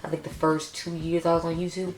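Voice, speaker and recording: A young woman with a raspy voice, chatting casually and upbeat as she reviews makeup for her vlog. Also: fairly low pitch, little pitch movement, fairly quick, slight background noise. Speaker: beauty YouTuber. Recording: echoey room.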